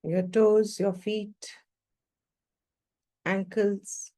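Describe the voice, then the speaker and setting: A woman teaches meditation at a slow, unhurried pace in a mid-range voice. She gives brief, gentle instructions, calm and measured, and leaves long pauses between phrases. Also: medium pitch.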